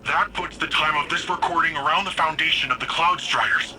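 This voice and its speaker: An actor playing an earnest, uneasy young hero in a video game, speaking in a casual, plain way. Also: male voice, fairly high pitch, a little fast, pushed and bright, slight background noise, low-quality recording. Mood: curious. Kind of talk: video game dialogue acting.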